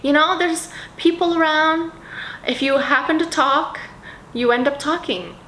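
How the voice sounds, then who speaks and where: A woman's voice: high-pitched, unhurried, sharp tone. A woman in casual vlog chat, bubbly and rambling, describing scents in a dreamy way with lots of fillers. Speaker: perfume vlogger. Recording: echoey room with faint ambient noise.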